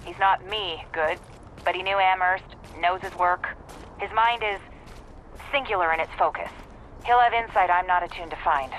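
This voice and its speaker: An actor playing an arrogant, self-assured doctor in a video game, with precise, brisk phrasing. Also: male voice, sits high, pushed and bright, slight background noise, low-quality recording. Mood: confident.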